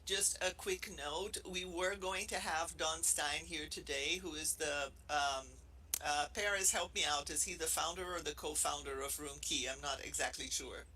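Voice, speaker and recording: A middle-aged man with a Brazilian accent gives a loose, playful talk to a room and an online audience, often restarting his sentences. His voice is very bright and sits a little high for a man, with a faint hiss of noise behind it. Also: normal speed, low-quality recording. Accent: Brazilian Portuguese. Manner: playful, chatty, many restarts. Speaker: associate professor presenting.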